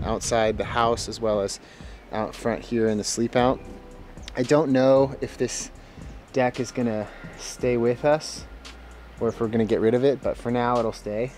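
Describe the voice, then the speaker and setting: A man narrating his own home renovation project and walking through each step plainly and calmly. He talks in a relaxed, casual vlog manner, partly on site outdoors. Soft background music sits under his voice. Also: mid-range voice, unhurried. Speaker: host of a home renovation channel.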